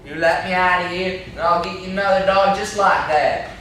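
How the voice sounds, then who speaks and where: Casting: cocky, argumentative backwoods hustler played by a young man, high voice, amateur stage play in echoey room. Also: fairly even intonation, moderate pace, pushed and bright. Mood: wary. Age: young adult. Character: defiant, cocky backwoods male dog-fight promoter. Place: classroom, indoors. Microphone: far camera. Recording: echoey classroom, distant camera mic, noisy.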